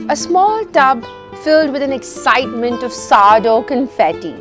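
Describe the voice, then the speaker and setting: A woman hosting a talk show, with a playful, sing-song way of talking. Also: sits high. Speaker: host. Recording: music playing behind.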